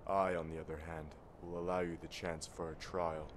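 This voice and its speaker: A man's voice: deep voice, level tone, normal speed, background noise, lightly acted. A man playing a grave, honour-bound warrior in a fantasy video game, speaking solemnly and formally. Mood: stern, accusing. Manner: solemn, formal, archaic phrasing.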